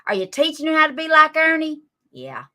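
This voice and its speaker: A woman in her forties with a drawl, lecturing critics on a live stream. Her bright, forceful voice stays sarcastic and scolding. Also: high-pitched, big pitch swings, brisk. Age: 40s. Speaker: livestream guest.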